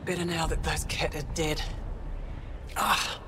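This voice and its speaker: Man doing a wounded male alien scout, strained plain speech, high-ish voice, noisy blizzard. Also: flat delivery, unhurried, lightly acted.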